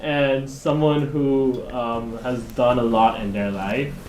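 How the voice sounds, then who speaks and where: A young man, a university student who grew up in the Philippines, co-hosting a podcast and talking in a chatty, lively way with laughs. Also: medium pitch, slow pace, echoey room, low-quality recording. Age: young adult. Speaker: podcast co-host. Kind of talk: casual chat.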